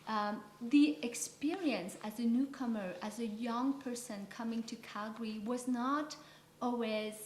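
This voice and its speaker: A woman's voice: a little high, a little mellow, a little hiss or hum. Woman's voice in an echoey room. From Vietnam. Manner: measured, storytelling. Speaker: guest. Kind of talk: interview conversation. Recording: echoey room, faint ambience.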